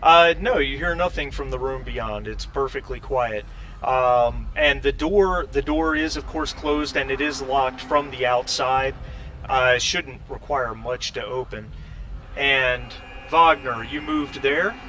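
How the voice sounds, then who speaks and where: A man running a tabletop role-playing game as game master, explaining in a halting, deliberate way. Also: mid-range voice, sharp tone, slight background noise.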